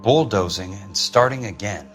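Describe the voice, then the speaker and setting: An actor playing a dogged, wry insurance investigator in a video game, speaking in a measured, understated way. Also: male voice, fairly low pitch, lightly acted. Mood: musing. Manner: measured, understated, polite but stubborn. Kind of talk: cinematic video game dialogue. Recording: music behind the voice.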